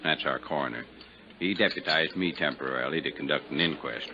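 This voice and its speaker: An actor playing a terse, folksy small-town western sheriff in a 1950s radio drama. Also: male voice, a bass voice, brisk, bright, forceful tone, slight background noise, exaggerated voice. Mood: laconic, resigned. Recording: dull old 1950s radio recording.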